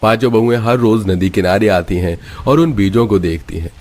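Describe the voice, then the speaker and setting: A man with a low voice tells a scripted story. He narrates it as for a moral cartoon tale. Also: normal speed, a little mellow, slight background noise.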